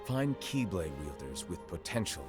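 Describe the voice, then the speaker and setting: A man playing a solemn, enigmatic master in a fantasy video game, speaking in a measured, grave, instructing way. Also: sits low, big pitch swings, loud music behind the voice. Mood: calmly instructing. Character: solemn, enigmatic male master of keyblade wielders. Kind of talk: scripted fantasy game cutscene acting.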